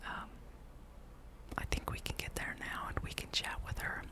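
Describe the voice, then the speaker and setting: A person speaking in a breathy whisper, gently rambling and musing aloud while hosting an ASMR gameplay video. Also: moderate pace. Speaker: gamer and video host.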